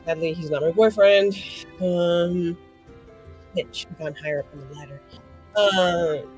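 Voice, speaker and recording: A woman's voice: on the low side, measured pace. Woman chatting through a tabletop game, music underneath. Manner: slangy, swearing, excitable. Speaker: player in a tabletop roleplaying game. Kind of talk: casual, improvised tabletop game chat.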